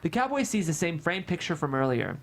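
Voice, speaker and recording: A narrator reads screenplay stage directions at a table read. The voice sits at a medium pitch and moves with lively, wide inflection through the scripted action. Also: a little fast.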